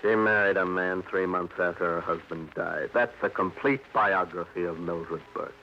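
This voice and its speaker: An American actor playing a bitter, self-pitying young drunk in a 1940s radio crime drama, with florid, mock-grand delivery. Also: male voice, low-pitched, moderate pace, slight background noise. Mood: bitter, sardonic. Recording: dull vintage radio recording with music behind.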